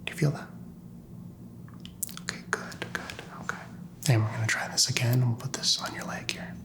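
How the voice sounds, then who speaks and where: Softly whispering man, ASMR doctor roleplay. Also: medium pitch, fairly even intonation, moderate pace. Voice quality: soft, breathy whisper. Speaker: ASMR performer playing a doctor. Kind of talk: ASMR roleplay of a medical exam. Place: small doctor's office.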